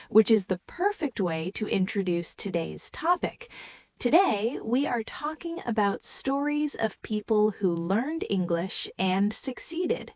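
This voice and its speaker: German-native woman hosting an english learner podcast, super slow and clear, friendly, dry close sound. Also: medium pitch, a little mellow, no echo. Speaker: podcast co-host. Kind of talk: scripted learner podcast chat.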